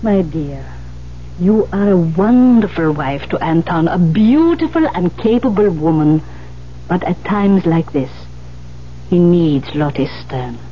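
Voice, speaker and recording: An actress in a 1970s radio drama playing a weary, wry older pianist who survived the camps, speaking in solemn, deliberate phrases. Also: female voice, lowish voice, animated. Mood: firm, tender. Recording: muffled, noisy 1970s radio recording.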